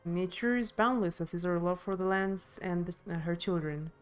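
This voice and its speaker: A woman voicing a welcoming, nature-revering village chief in a video game, with formal, measured delivery. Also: on the low side, clean tone, soft background music, pitched below the performer's own voice, close to an everyday voice. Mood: reverent. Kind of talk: game character voice-over.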